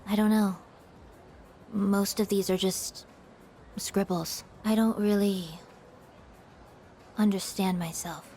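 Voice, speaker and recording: A melancholy, aloof young woman from a video game. Her medium-pitched voice is soft and deliberate, detached yet quietly curious, over faint music. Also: fairly even intonation. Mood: detached, pensive. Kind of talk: English video game voice acting.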